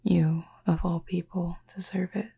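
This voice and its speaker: Cupid roommate, sleep-aid roleplay, female actor: reserved and devoted, hushed breathy delivery, very even pitch, dark soft tone, measured formal phrasing. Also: full character voice. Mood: gentle, fond. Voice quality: breathy, husky, near-whisper. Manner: measured, formal wording with long pauses.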